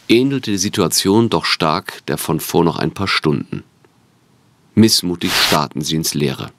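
A man reading scripted narration for an audio drama. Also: sits low. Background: music.

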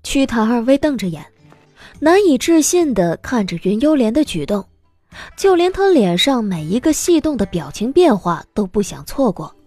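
A woman narrating a scripted audio drama in an expressive storytelling manner. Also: a little high, normal speed, no room sound. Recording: music bed behind the voice.

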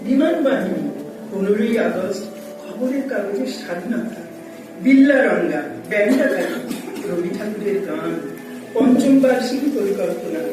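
Animated high-voiced man reciting, very echoey. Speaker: recitation performer. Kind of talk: dramatic recitation, listing items in verse. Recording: echoey, distant, music behind. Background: music.

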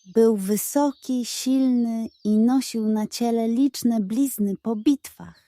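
A woman tells a children's bedtime tale at a slow, careful pace. Her voice is mellow and fairly high, recorded very dry and close. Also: dry recording. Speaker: storyteller. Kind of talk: scripted bedtime story narration for children.

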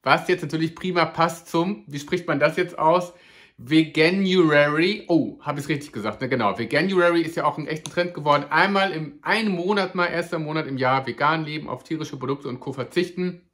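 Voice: medium pitch, brisk, fairly bright tone. A food vlogger giving a casual, upbeat snack review to camera, chatty and playful, joking about their own pronunciation. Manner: chatty and upbeat, addresses viewers as "ihr Lieben".

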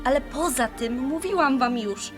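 A woman playing a bossy, easily exasperated young would-be high priest in an amateur fantasy audio drama, switching between mock-solemn ceremony and scolding. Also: lively intonation, normal speed, over music. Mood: solemn, ceremonial. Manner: mock-solemn incantation breaking into irritated scolding.

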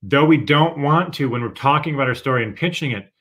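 A man's voice: mid-range voice, lively intonation, fast talker, fairly bright tone, slightly rough. A young man co-teaching an online writing workshop, explaining patiently and hedging with "sort of" and "kind of", over a webcam. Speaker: writing instructor. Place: room at home. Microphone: webcam video call.

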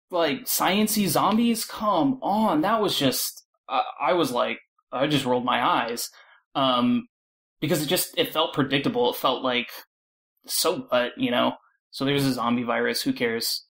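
Female panel guest, low for a woman, informal and opinionated in casual chat. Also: a little slow. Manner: informal, opinionated, animated asides. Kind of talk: casual film discussion.

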